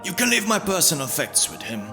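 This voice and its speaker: A man's voice: sits high, very animated, fast, pitched above the performer's own voice. A man voicing a worried, kindly monk healer in a fantasy audio drama, speaking earnestly and with urgency. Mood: apologetic, worried. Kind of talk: audio drama character acting. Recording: music bed under the voice.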